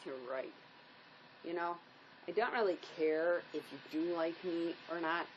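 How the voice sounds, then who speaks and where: A woman's voice: fairly low pitch, fairly even intonation, a little slow, muffled recording, natural voice. An actress playing a wounded, despairing woman drifter in a drama, emotional, by turns pleading and defiant. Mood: sad, needy. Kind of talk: dramatic script performance. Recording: faint background noise.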